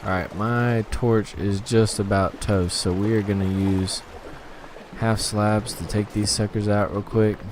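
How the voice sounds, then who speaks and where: A man with a slightly hoarse throat giving easygoing, casual commentary on his own gameplay and talking himself through each task. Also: fairly low pitch, flat delivery, unhurried, noisy background. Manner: easygoing, talks to himself while playing. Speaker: gaming channel host.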